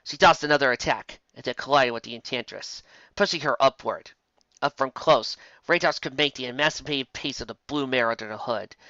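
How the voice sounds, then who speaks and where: A man reading fantasy fiction aloud as an expressive amateur storyteller, now and then stumbling over a word. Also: medium pitch, a little fast, dry recording, highs cut off. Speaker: story narrator.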